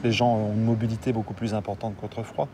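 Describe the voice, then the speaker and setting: A man gives a thoughtful interview answer, reasoning his way through. He is picked up from a distance, and urban street noise with passing vehicles is clear behind him. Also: lowish voice, little pitch movement, fast talker. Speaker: expert interviewee.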